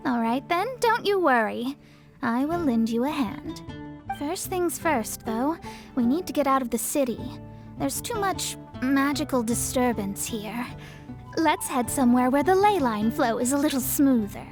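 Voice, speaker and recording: A woman playing a proud, brisk, self-important young astrologist mage in an anime-style video game. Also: high voice, lively intonation. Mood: businesslike. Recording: music behind the voice.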